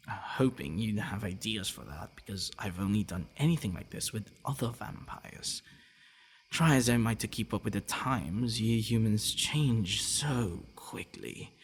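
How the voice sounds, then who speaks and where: Gravelly, low-voiced gentle vampire boyfriend, acted by a man. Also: full character voice. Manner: halting, soft-spoken, often dropping to breathy murmurs. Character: gentle, devoted, bashful male vampire boyfriend. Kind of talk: ASMR boyfriend roleplay, intimate acting.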